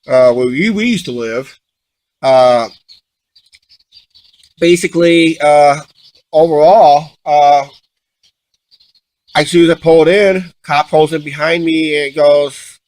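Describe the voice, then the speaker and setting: A man telling stories casually and unscripted, halting and often repeating himself as he restarts sentences. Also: on the high side, darkish tone, poor audio. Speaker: vlog co-host.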